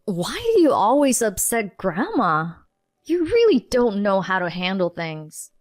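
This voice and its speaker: A man's voice: high-pitched, big pitch swings, unhurried. A woman narrator voicing a scornful, lazy husband who mocks his wife in a spoken story. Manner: derisive, scoffing. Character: scornful heavy-drinking husband, lazy and mocking.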